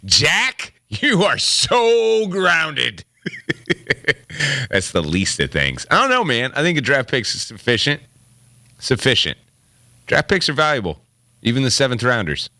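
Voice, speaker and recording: A man's voice: medium pitch, huge pitch swings, slow pace, pauses often, pushed and bright, no room sound. A man co-hosting a sports talk show, chatting casually and thinking aloud.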